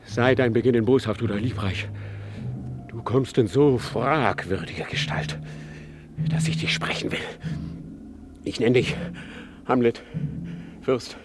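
An actor playing an anguished, headstrong young prince in a German radio play, declaiming verse fervently. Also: male voice, mid-range voice, a little hiss or hum, close to an everyday voice.

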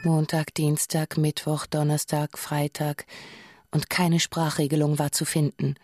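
A woman's voice: low voice, level tone, unhurried, a little mellow, dry recording. A woman acting as the narrator of a radio drama, recounting absurd events evenly in scripted prose. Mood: wry, even. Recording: dry studio, faint music.

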